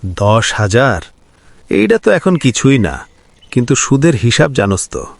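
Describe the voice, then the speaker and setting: A man's voice: mid-range voice, big pitch swings, unhurried, vocal fry, no room sound, heavily acted. A greedy village moneylender in a cartoon, mocking and bossy. His swoops up and down. The sound is dry and close. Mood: scornful. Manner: mocking, domineering.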